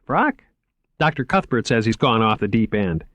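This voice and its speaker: A man voicing an eager, shy young male museum curator who talks fast and stammers when he gets flustered. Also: on the low side, sing-song, a bit bright, dry recording. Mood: opinionated.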